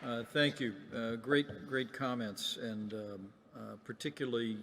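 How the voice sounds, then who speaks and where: A man moderating a government meeting, speaking in a halting way with many fillers between speakers. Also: mid-range voice, heavy background noise. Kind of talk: moderating remarks. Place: large museum hall. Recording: distant mic in a reverberant hall with crowd.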